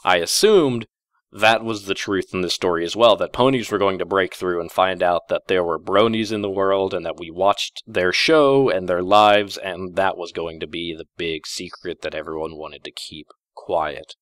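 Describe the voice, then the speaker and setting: Deep-voiced man chatting casually. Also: normal speed, fairly bright tone, dry recording, studio quality. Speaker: host of a story-reading channel. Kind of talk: casual unscripted commentary to listeners.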